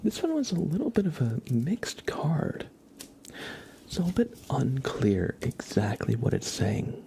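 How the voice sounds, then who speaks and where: A man hosting an ASMR livestream, giving card readings in a gentle, halting way as he thinks aloud. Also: medium pitch, huge pitch swings, gravelly. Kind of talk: whispered ASMR, casual tarot card reading.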